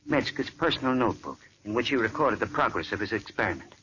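A man's voice: medium pitch, expressive, quick pace, background noise, lightly acted. A man playing an earnest male doctor explaining a case, in 1940s radio acting style, on an old muffled radio recording.

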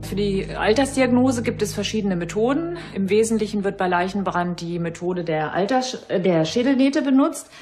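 A woman's voice: medium pitch, expressive, normal speed, few pauses, darkish tone, faint music behind. A woman anthropologist explaining as an expert interviewee, academic and somewhat hesitant.

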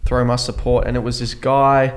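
A young Australian man co-hosting a podcast in casual chat, an animated, chatty storyteller who swears freely. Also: mid-range voice, moderate pace. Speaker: podcast co-host.

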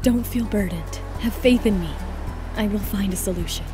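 A woman narrator voicing a calm, loyal young model and wife in an audio drama, composed and gentle. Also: medium pitch, normal speed, over music, voice pushed down, natural voice. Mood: affectionate.

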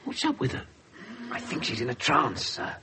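A man playing an uneasy, deferential police constable in a radio drama, husky and hushed. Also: medium pitch, huge pitch swings, moderate pace, a little hiss or hum. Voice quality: very husky, breathy. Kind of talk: 1970s radio drama acting.

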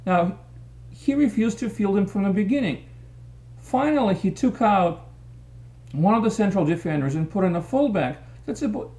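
A man's voice: sits high, mellow. A middle-aged man giving an opinionated post-match soccer analysis, talking to a webcam in a small room. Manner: opinionated, gestures while explaining tactics. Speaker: soccer commentator. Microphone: webcam at desk.

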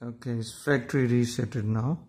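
A man giving an informal step-by-step tech tutorial, explaining in a halting, repetitive way. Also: mid-range voice, steady tone, darkish tone, husky. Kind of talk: informal step-by-step how-to narration.

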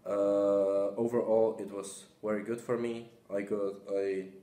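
Flat, low-voiced young man, echoey room. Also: a little slow. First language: not English. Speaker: exchange student. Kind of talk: testimonial to camera. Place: small indoor office. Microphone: camera a short way off. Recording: echoey small office.

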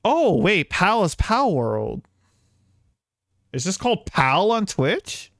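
A man's voice: high-pitched, wildly swooping pitch, takes it slowly, fairly bright tone, dry recording, clean studio sound. A man chatting casually to viewers as a game streamer, rambling along and swearing now and then. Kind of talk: casual livestream chat to viewers.

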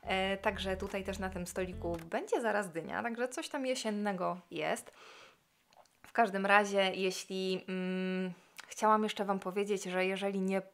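A woman in her thirties, talking casually about cleaning her home in a relaxed voice-over with many fillers. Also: mid-range voice, measured pace. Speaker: cleaning vlogger.

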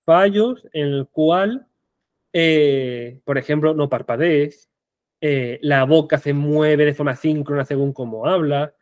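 A man's voice: on the high side, slow, dry recording, clean studio sound. Young Andalusian man giving a tech talk. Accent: Andalusian Spanish. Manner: animated, rhetorical questions, frequent restarts. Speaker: conference speaker. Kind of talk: online tech conference talk. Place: small indoor room.

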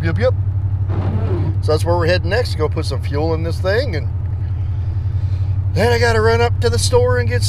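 American male vlogger, thirties, higher-pitched, folksy and playful, casual driving chat with noticeable engine and road noise. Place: inside a pickup truck cab while driving. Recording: noisy car interior, engine and road noise.

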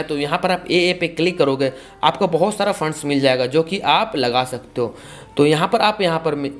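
A man, giving a casual, repetitive step-by-step tutorial. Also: a little high. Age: 20s. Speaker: tutorial host. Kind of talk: casual video-editing tutorial.

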